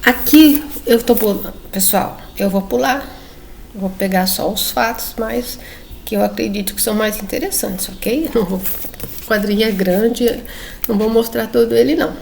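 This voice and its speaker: A woman's voice: on the high side, moderate pace, creaky, a little mellow, slight background noise. A woman presenting a comic book to her viewers in a casual, chatty way, often checking in with them. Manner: chatty, addresses viewers, frequent tag questions. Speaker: book reviewer. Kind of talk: casual presentation reading comic excerpts aloud.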